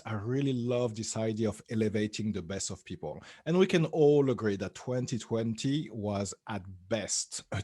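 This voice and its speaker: A man's voice: on the low side, darkish tone, no room sound, studio quality. Upbeat man hosting an interview. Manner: upbeat, sing-song greeting, halting restarts. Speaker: interview host. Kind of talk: online interview.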